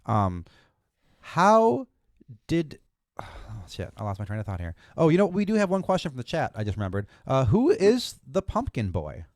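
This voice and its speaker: A man's voice: mid-range voice, wildly swooping pitch, normal speed, a little mellow, no room sound, clean studio sound. A man hosting a casual live music show, talking informally with the odd mild swear word. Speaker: host of a music recap show. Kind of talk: casual live-stream chat.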